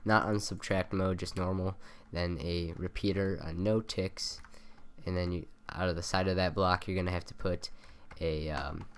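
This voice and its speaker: A man's voice: low voice, fairly even intonation, normal speed, a bit bright, slight background noise. A young man with a nasal voice rambling through a game tutorial, with lots of ums and self-corrections, on a cheap desk mic. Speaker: gaming tutorial maker. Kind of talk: casual game tutorial voice-over. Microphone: cheap USB desk mic by the keyboard.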